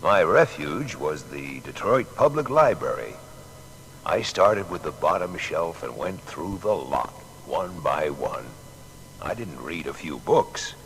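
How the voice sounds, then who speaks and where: A self-taught inventor recalling his life in an audio biography. His voice is very low and unhurried, yet it moves with lively swings of pitch. He speaks plainly and with deliberate emphasis. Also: a little hiss or hum, full character voice, poor audio. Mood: reflective. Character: Thomas Edison, plain-spoken self-taught American inventor reminiscing. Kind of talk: dramatised first-person reminiscence.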